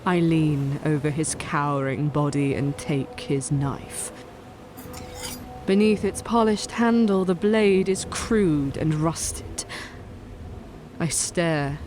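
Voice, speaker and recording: Slow, low-voiced vengeful witch. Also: darkish tone, slight background noise. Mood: hushed, bitter. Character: vengeful young witch telling her dark tale, cold, menacing. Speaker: story narrator. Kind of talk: dramatic first-person scripted narration.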